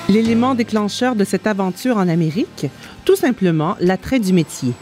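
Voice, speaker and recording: A woman's voice: medium pitch, expressive, moderate pace, darkish tone. Woman narrating a report over music. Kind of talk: scripted video report narration. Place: voice-over recording. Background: music bed.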